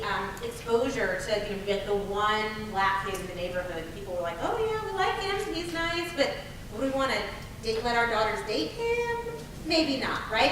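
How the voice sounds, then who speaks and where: Woman facilitator, bright and forceful, somewhat high, heard from a distance in an echoey room. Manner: engaged, affirming, often adds "right?". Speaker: workshop facilitator. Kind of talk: leading a group discussion. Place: community workshop room. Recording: echoey room, distant mic, faint noise.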